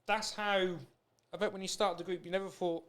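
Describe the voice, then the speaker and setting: A man's voice: sits high, flat delivery, fairly quick, a bit bright. A British man hosting a podcast in a casual chat, talking in an informal, rambling, matey way. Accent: British English. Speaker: podcast host.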